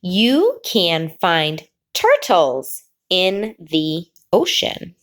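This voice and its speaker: A woman teacher reading a picture book aloud to children, pausing before key words and explaining the pictures. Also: fairly low pitch, sing-song, a bit bright. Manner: slow, drawn-out reading with sing-song pauses before the last word.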